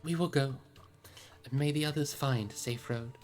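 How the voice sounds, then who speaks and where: A narrator plays a hobbit gentleman from a fantasy storybook. He is well-spoken and gentle yet resolute, pitched somewhat above the reader's narration, with music behind. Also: moderate pace, natural voice. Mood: fond, relieved.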